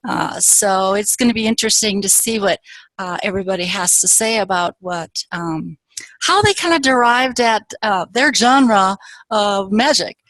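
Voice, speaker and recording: A woman from northern Wisconsin hosting an online author panel, talking in a halting way with many fillers. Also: medium pitch, a bit bright, no echo, rough recording. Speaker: panel host. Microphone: video call.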